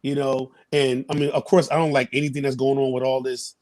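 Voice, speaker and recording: A man in his thirties giving opinions in a casual interview, halting and repeating phrases as he builds his point. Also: mid-range voice, little pitch movement, darkish tone. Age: 30s. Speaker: interview guest.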